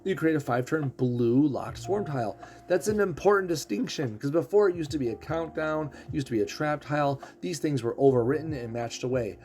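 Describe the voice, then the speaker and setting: A man casually explaining a game character's abilities, throat rough as he is losing his voice. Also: on the high side, animated, a little fast, few pauses, darkish tone. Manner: explanatory, walks through ability text. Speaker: game streamer.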